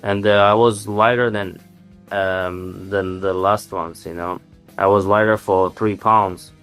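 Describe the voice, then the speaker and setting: Brief: young adult male, Armenian first language, low and smooth voice, halting English with many fillers, faint music bed. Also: fairly even intonation, fairly bright tone. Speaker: interviewed fighter. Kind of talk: casual interview answers.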